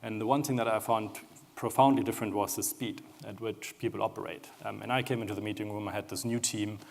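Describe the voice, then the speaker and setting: A man's voice: lowish voice, fairly even intonation, fast, vocal fry, darkish tone. A man with a German accent, speaking as a panelist and telling a story with many restarts. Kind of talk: panel discussion.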